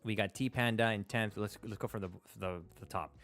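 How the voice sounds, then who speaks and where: A man's voice: on the low side, fairly even intonation, brisk, a bit bright. Stream host, man in his thirties, first language Spanish, casual and hesitant, faint music under the voice. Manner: thinks aloud, hesitant, many fillers and restarts. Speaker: livestream host. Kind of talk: casual live-stream commentary while playing. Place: small room at a desk. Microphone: close studio mic on a stand.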